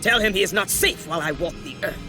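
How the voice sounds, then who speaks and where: Fierce Greek warrior, vengeful, declamatory, menacing, widely swinging pitch, video game cutscene, loud music behind. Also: male voice, expressive, fast. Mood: defiant rage. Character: fierce vengeful Greek warrior man in servitude to war god. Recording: loud dramatic music underneath.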